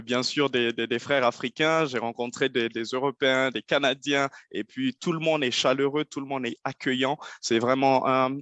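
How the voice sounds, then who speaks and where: A man's voice: medium pitch, no echo. A man with a Nigerien accent giving earnest advice in a conversational talk, often repeating words as he searches for the next one. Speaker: guest speaker.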